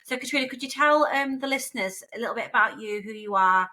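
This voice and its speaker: Woman, podcast host, bright crisp voice, hesitant asides. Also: on the high side, fast talker. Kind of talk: podcast interview.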